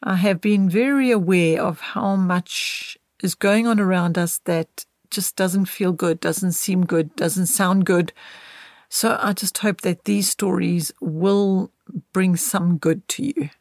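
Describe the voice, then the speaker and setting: Middle aged lady hosting a podcast, lowish voice, close dry sound, chatty and gently well-wishing. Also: fairly even intonation, takes it slowly, dry recording. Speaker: podcast host. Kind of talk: casual, conversational podcast introduction.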